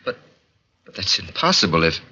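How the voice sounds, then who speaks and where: A man's voice: low-pitched, big pitch swings, normal speed, bright, forceful tone, husky, full character voice. An actor in a 1940s radio drama playing a startled, insistent doctor, a man of science who stammers in disbelief. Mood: stunned, incredulous. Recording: muffled vintage 1940s radio recording.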